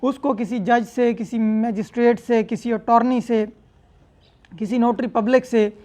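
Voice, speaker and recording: A man's voice: extremely high pitch, steady tone, unhurried, mellow. A man with a Pakistani accent giving a law lecture, explaining step by step in a didactic manner with English legal terms mixed in. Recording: dull, lo-fi lecture audio.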